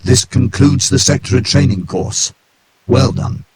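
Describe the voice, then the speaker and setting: A man voicing a polite, formal male AI supercomputer that guides trainees in a calm, courteous, clipped manner. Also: low voice, measured pace, heavy background noise. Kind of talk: scripted narration for an analog horror tape.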